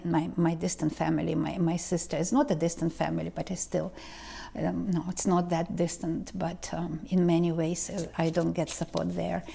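A woman, talking slowly to camera as a tarot reader, pausing and restarting often. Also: lowish voice, creaky, dark tone. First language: Ukrainian or Russian. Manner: slow, halting, many restarts and ums. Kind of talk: casual vlog talk to camera. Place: living room indoors. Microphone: lapel mic.

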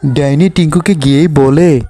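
Male storyteller for kids' cartoons, high register, scripted narration over a soft music bed. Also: steady tone, normal speed. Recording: music behind the voice.

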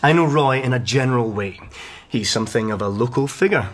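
An actor playing an insinuating, cultured young gentleman tutor in a radio drama, measured and scheming. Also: mid-range voice, close to an everyday voice. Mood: guarded, courteous.

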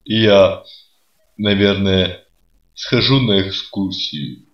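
Male nihilist radio guest, acted: dour, unhurried, dry sarcasm for comedy, muffled sound. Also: low-pitched. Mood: deadpan. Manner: unhurried, sardonic, deadpan. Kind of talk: comedy radio sketch acting. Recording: roomy, dull lo-fi sound.

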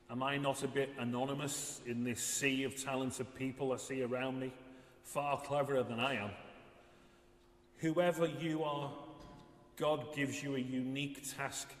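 A man delivers a scripted sermon in a measured, solemn manner. His pitch is average. The voice rings with strong echo and long reverb in a large stone church. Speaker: assisting minister.

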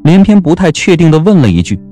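A man narrating a historical romance audio drama as an expressive storyteller. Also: a little high, fast talker, faint music behind.

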